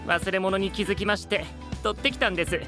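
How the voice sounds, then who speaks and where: An actor playing a polite, quirky and doting young knight in an anime voice drama, with courteous, fussy speech. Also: male voice, sits high, steady tone, fast. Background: background music.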